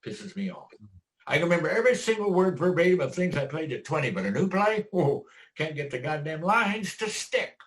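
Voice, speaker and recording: An actor playing an aging veteran stage actor, wry, profane and theatrical. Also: male voice, on the high side, sing-song, a little fast, a bit bright. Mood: grumbling. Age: older. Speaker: stage actor in a play reading. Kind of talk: stage play reading.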